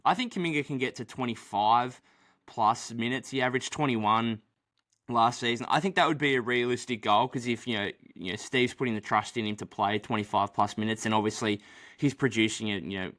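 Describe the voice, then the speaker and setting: A man's voice: lowish voice, brisk, a bit bright. A young man co-hosting a casual sports podcast, rambling with many fillers and restarts, his voice dry this early in the morning. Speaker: podcast co-host.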